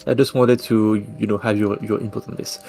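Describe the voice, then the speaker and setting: A man acting as game master, halting and restarting as he guides an online roleplay. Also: on the low side, flat delivery, darkish tone, soft background music. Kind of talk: running an online roleplay session.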